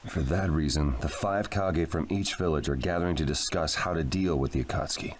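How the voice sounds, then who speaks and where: A man voicing a stern, measured adult ninja captain in a game cutscene, firm and scolding. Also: a bass voice, background noise. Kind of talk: game cutscene English dub.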